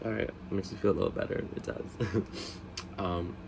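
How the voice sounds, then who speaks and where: A man's voice: sits low, steady tone, moderate pace, creaky, darkish tone, a little hiss or hum, poor audio. A man with a cold, congested and sniffly, chatting casually and rambling through a storytime vlog with self-mocking asides. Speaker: vlog host.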